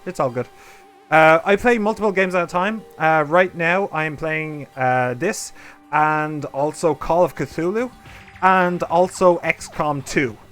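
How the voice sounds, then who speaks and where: A man's voice: fairly high pitch, a little slow, a bit bright. A man streaming a game, chatting casually and rambling as he talks to his viewers. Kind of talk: casual let's play commentary. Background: game music.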